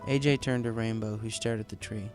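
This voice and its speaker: A man's voice: on the low side, fairly even intonation, brisk, darkish tone. A man reading a scripted story as its narrator. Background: background music.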